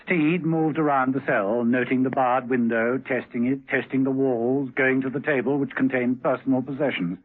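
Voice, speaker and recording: An actor narrating a 1970s radio spy thriller in a measured, scripted style. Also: male voice, mid-range voice, fairly even intonation, natural voice. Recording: muffled old radio broadcast recording.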